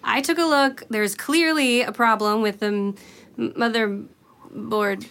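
A woman's voice: a little high, fairly even intonation, slow, vocal fry, fairly bright tone, heavily acted. A woman playing a good-natured, hapless young engineer in a comic audio drama, with an American accent and casual delivery. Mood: hopeful, hapless.

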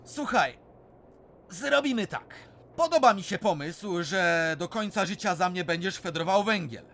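An actor playing a clever, cheeky miner, crafty and teasing. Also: male voice, high-pitched, animated, measured pace, a bit bright, full character voice. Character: clever cheeky Silesian miner Bulandra, adult man. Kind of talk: radio drama acting.

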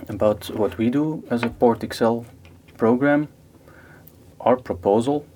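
A man's voice: on the low side, darkish tone. A man hosting a podcast interview, asking his questions in a conversational way with restarts and fillers. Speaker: podcast host.

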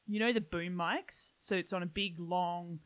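A woman's voice: fairly low pitch, steady tone. A woman, hosting a casual chat and teasing her guest playfully. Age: 30s. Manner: teasing, quick to laugh.

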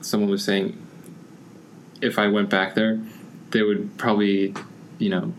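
A man's voice: lowish voice, little pitch movement, a little slow, slight background noise. A young man from the United States chatting casually, rambling through his thoughts with constant "like" fillers. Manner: rambling, heavy use of "like" fillers. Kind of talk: casual conversation.

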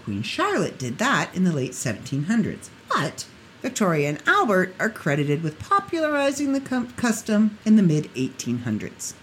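A woman hosts her podcast alone, chatty and jokey, with a lively pitch that bounces up and down. Her voice has gone a little hoarse, and a faint hiss sits underneath. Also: normal speed, low-quality recording. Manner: chatty, jokey, laughs at own asides. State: getting hoarse. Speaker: podcast host. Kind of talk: casual solo podcast chat.

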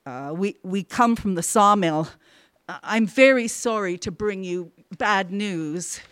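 A woman's voice: medium pitch, slow pace, a bit bright, no room sound. Female officer voiced by a woman narrator, uneasy and sorry, stammering, dry close sound. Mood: awkward sorrow. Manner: hesitant, stammering, subdued. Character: uneasy, apologetic female officer bearing bad news. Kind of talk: storybook character acting.

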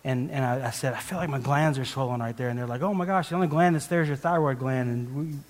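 A man's voice: mid-range voice, fast talker. A man preaching a conversational sermon as a guest speaker, telling a personal story in a rambling, self-deprecating way with frequent restarts and laughs. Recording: long hall reverb.

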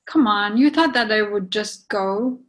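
A woman's voice: mid-range voice, a little slow, natural voice. A woman playing a guarded, apologetic young woman who is torn and hesitant, in a readers theatre reading. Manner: hesitant, regretful.

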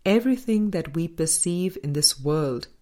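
A woman reading a spiritual book aloud as a scripted audiobook, in measured, deliberate short phrases. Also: lowish voice, expressive, dark tone. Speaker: audiobook reader.

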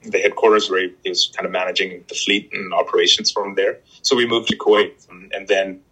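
A man with a low, slightly husky voice talks in a relaxed interview. He stops and restarts often, filling gaps with "you know" and "uh". He grew up in Pakistan. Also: poor audio. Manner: halting, many restarts and fillers. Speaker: guest. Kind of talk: casual interview chat.

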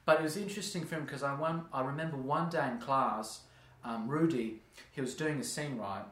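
A man's voice: mid-range voice, brisk, fairly bright tone, reverberant. A man, interviewing casually, rambling and searching for his words. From Australia.

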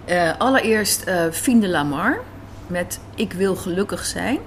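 A woman's voice: on the low side, expressive, measured pace. A woman giving a conversational interview as a radio drama maker, recorded in a noisy café. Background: café noise.

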